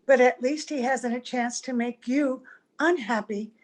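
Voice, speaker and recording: A woman acts out a wistful, ageless young heroine of an old-time radio play. She is tender and earnest, with a fairly high, gentle voice. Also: measured pace. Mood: pleading.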